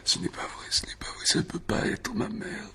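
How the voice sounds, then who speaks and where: An actor playing a distraught son in a radio drama, repeating himself in shocked disbelief and gasping. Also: male voice, fairly quick, pushed and bright, close to an everyday voice. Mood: anguished denial. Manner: distraught, repetitive, gasping into whispers. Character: anguished son in disbelief that his mother killed. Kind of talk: French radio drama acting.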